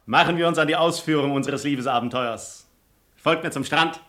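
An actor playing a cynical, falsely pious noble seducer in a classic radio play, eloquent and mock-solemn. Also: male voice, on the high side, brisk, bright, forceful tone. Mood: mocking, commanding. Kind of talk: German radio play acting of classic comedy.

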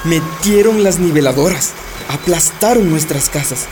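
A woman playing an anguished Amazonian villager, telling her story gravely and with grievance in a radio drama. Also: low-pitched, expressive, unhurried, noisy background.

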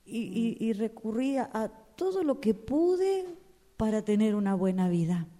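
Actress as slow, dramatic, unlucky Argentine woman. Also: a little high, very animated, mellow. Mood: indignant, self-justifying. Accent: Rioplatense Argentine Spanish. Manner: dramatic, complaining storytelling. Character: desperate, unlucky, self-pitying woman, devoted client of a fortune-teller. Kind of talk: radio drama acting, conversational dialogue.

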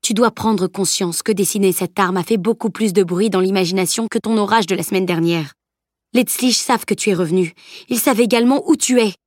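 A woman's voice: mid-range voice, a little fast, a little mellow, no echo, studio quality, natural voice. A woman reading as a stern, grumbling old male teacher who lectures severely. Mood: lecturing.

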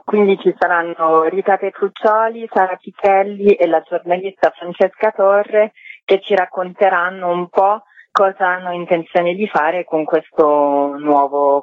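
A woman's voice: on the low side, dry recording. A talkative, enthusiastic woman giving spontaneous answers as an interviewed festival organizer, speaking over a telephone. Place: phone call into a radio programme. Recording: muffled narrow-band phone line.

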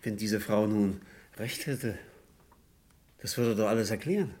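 A man's voice: fairly low pitch, measured pace, a little mellow. Courteous, nervy male householder. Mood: eager, theorising. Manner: courteous, hesitant, insistent. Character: polite, eager male householder, a nervy suspect. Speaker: radio drama actor. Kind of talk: vintage German crime radio play acting.

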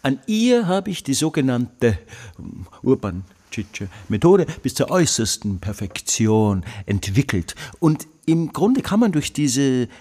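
Obsessive scholar, radio drama monologue, emphatic, repetitive, halting, very slow. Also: male voice, medium pitch, big pitch swings, darkish tone, no room sound. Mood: pedantic, self-absorbed. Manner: emphatic, repetitive, halting delivery with drawn-out words. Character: obsessive, tormented scholar consumed by his life's study.

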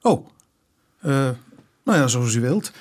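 An actor playing an earnest police inspector in a Dutch radio drama, formal and careful, polite but pressing. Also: male voice, medium pitch, big pitch swings, slow pace, husky, exaggerated voice. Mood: polite, probing.

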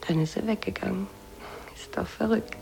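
An intimate, soothing woman in a naturalistic German radio play of the 1980s. Her voice is creaky and often sinks to a breathy half-whisper, with music playing underneath. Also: medium pitch, moderate pace, poor audio. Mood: hushed, dreamy. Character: sleepless, soothing woman, lover of a radio writer.

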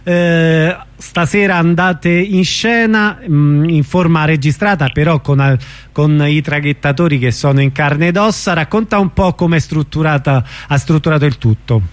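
A man's voice: a little high, moderate pace, no room sound. A man hosting an informal radio interview, feeling his way through the phrasing of his questions.

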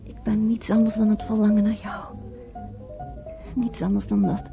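A woman's voice: mid-range voice, flat delivery, normal speed, creaky, natural voice. Actress as a dreamy young woman over music. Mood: dreamy, yearning. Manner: yearning, rapt. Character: dreamy, lovestruck 27-year-old variety dancer. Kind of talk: romantic radio drama acting. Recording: dull old radio recording, music behind. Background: loud music.

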